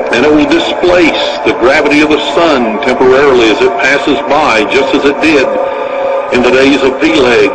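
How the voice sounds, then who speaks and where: An American man delivering a dramatic radio monologue in an emphatic, deliberate manner with pointed pauses. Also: mid-range voice, very noisy. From United States. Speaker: radio speaker. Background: music.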